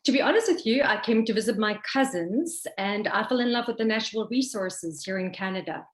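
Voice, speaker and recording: A woman's voice: medium pitch, expressive, fairly quick, echoey room. A South African woman from Pretoria, in her thirties, talking as an interview guest in a confident, upbeat way. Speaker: expat guest. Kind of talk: casual interview chat.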